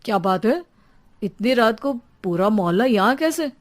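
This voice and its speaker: A woman voicing sceptical, teasing neighbours and relatives in an animated horror-comedy, with deliberate delivery. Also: a little high, lightly acted.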